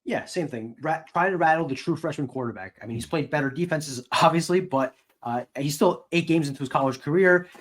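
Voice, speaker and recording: A man's voice: a little high, fairly quick. A man co-hosting a sports podcast, giving analysis in a casual, conversational style.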